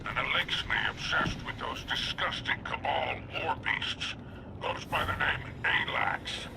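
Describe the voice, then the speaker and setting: Gravelly, haughty alien crime boss. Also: male voice, medium pitch, a little slow, noisy background. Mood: dry, irritated. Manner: dry, disdainful, businesslike. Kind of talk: video game cutscene acting.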